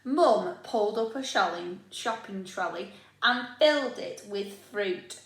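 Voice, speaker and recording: A woman with a British accent, a primary school teacher reading a storybook to young children in a deliberate, expressive way. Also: medium pitch. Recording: echoey room.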